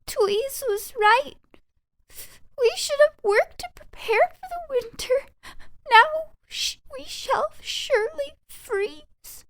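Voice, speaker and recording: Squeaky, high-pitched little storybook mouse. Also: female voice, lively intonation, slow, a bit bright, dry recording, full character voice. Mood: frightened, shivering. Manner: squeaky, childlike, pleading. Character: playful, then frightened, pleading little meadow mice.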